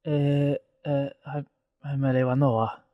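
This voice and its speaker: A woman's voice: rumbling low, little pitch movement, measured pace, no echo, narrow, tinny audio. A woman voicing a shy, awkward, lovesick schoolboy with a Hong Kong Cantonese accent in an audio drama. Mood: flustered. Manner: stammering, hesitant when flustered.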